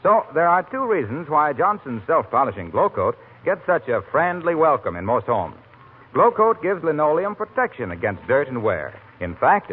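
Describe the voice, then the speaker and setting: A man with an American accent announcing from a script in polished 1940s radio pitchman style. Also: breathy edge. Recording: tinny old-time radio recording.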